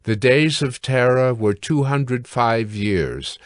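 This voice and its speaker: A man reading scripture as a scripted narration, measured and formal. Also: medium pitch, dry recording, clean studio sound.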